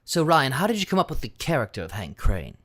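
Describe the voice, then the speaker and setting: A man of average pitch asks prepared interview questions. His intonation is lively and moves up and down a good deal. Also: fast talker.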